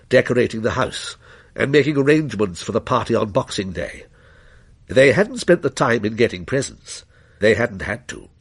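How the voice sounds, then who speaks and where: A man narrating a scripted dramatic story in a measured, literary manner. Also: mid-range voice, slightly rough.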